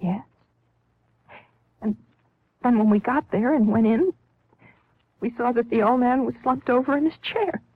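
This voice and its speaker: A frightened young bride with a high voice, halting and anxious as she speaks. She is earnest and guarded, acted in old radio drama manner. The sound is thin and tinny, like a vintage broadcast. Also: slightly rough, dry recording. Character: nervous, secretive young newlywed woman, frightened but earnest. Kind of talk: 1950s radio drama acting. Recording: tinny, band-limited 1950s radio recording.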